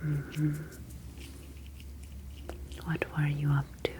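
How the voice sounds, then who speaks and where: A woman playing a calm, superior ocean alien scientist in an ASMR roleplay, speaking in a breathy, soothing whisper with formal, deliberate phrasing. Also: low-pitched, background noise. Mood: soothing, clinical.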